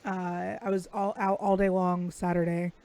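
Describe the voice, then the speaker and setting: Raspy-voiced woman telling stories. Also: fairly low pitch, level tone, normal speed, warm tone. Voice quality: raspy today. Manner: profane, storytelling. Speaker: podcast co-host. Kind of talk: casual chat.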